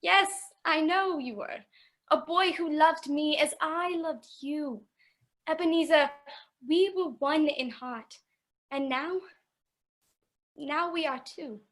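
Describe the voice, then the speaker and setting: A woman in a radio play playing a poor young fiancée who speaks with sorrowful, earnest resolve. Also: high-pitched. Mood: sorrowful, resolute. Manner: earnest, measured, plaintive.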